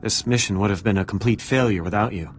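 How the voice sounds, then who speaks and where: An actor playing an earnest, excitable surveillance technician in a video game. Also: male voice, on the low side, fast, a little hiss or hum, lightly acted. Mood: grateful.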